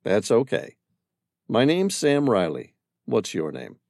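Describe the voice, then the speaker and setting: Male reader as a probing stranger; calm, persistent, low-key and gravelly. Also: slow, no room sound, clean studio sound, exaggerated voice. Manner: inquisitive, persistent questioning.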